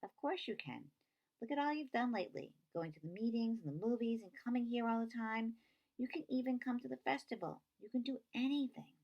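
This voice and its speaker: A woman reading a children's novel aloud, voicing a feisty, quick-tempered girl who is earnest and insistent with her friend. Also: on the high side, dull audio, pitched above the performer's own voice. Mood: encouraging.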